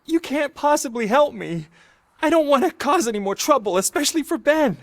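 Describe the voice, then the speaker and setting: A man's voice: extremely high pitch, animated, fairly quick. A man playing a scared, loyal teenage petty thief in a video game, anxious and pleading. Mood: panicked, pleading.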